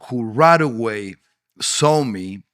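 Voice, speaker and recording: A man's voice: mid-range voice, clear, resonant tone, no echo, studio quality. A middle-aged man from Argentina with an Argentine Spanish accent, speaking English as a second language, Spanish being his native tongue, telling his story in a candid podcast interview with many restarts. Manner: halting, many restarts and fillers, animated retelling. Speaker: actor and producer guest.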